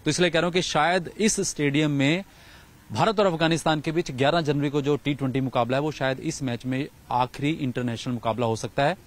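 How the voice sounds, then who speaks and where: A man's voice: on the high side, fairly quick. A man, reporting and interviewing as a sports journalist outdoors into a handheld microphone. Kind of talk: on-location news reporting and interviewing. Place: outdoors in a large empty cricket stadium.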